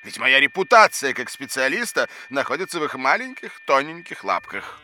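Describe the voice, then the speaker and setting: A man's voice: mid-range voice, very animated, normal speed, pushed and bright, slight background noise. A man playing a fussy, indignant, absent-minded professor in a children's educational audio drama, with a pompous, exasperated theatrical delivery. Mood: pompous, lecturing.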